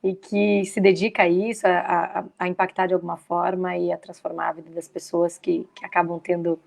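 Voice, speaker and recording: A middle-aged Brazilian woman from São Paulo talking casually about herself as an interview guest, a little haltingly. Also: lowish voice, level tone, gravelly, a little mellow. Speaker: interview guest, lawyer turned executive. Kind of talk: casual personal talk.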